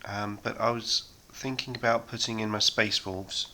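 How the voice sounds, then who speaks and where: A man in his thirties hosting a miniature painting chat, talking casually with many ums and a slightly hoarse voice from a sore throat. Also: on the low side, moderate pace, rough recording. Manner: relaxed, rambling, many ums. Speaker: hobby painting channel host.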